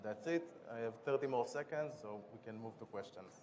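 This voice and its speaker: A man from Israel with an Israeli accent, giving a quick, businesslike conference pitch and product demo as a startup founder, with some restarts. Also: a little mellow, a little hiss or hum. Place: conference stage.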